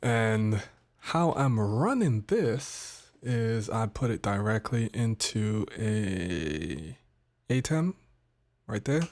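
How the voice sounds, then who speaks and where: A man hosting a casual live stream about his streaming gear, sounding a little nasal from a cold, chatty and relaxed, pausing now and then to handle equipment. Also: on the low side. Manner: laid-back, chatty, pauses to fiddle with gear. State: has a cold, a bit nasal. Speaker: tech streamer. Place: home streaming setup, indoors. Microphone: studio mic on desk.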